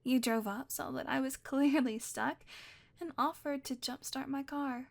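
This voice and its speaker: A woman's voice: high voice, fairly even intonation, unhurried, creaky. A young woman voicing an obsessive, possessive witch in an audio roleplay, talking sweetly and coaxingly and often dropping to a whisper. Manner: sweet, coaxing, softly controlling, slips into whispers.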